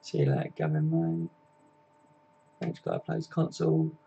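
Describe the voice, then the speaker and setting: A man's voice: a little high, huge pitch swings, moderate pace, creaky, mellow, muffled recording, a little hiss or hum. A man, hosting a casual live stream, chatting matily with viewers and laughing easily. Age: 20s.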